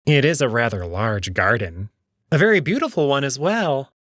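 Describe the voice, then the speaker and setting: A man's voice: mid-range voice, expressive, normal speed, fairly bright tone, no room sound, studio quality. A man voicing a smug, suave young jackal prince in an audio drama, with airy, courtly, teasing politeness. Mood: airy, polite.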